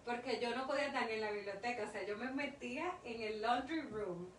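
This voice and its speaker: A woman in her thirties with a Dominican accent, talking through her story with earnest emphasis. She is heard from a distance in an echoey room, with a clear layer of background noise. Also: fairly high pitch, fairly quick. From Dominican Republic. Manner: earnest, emphatic, repeats herself for stress. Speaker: interview guest, industrial engineer. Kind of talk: casual interview conversation.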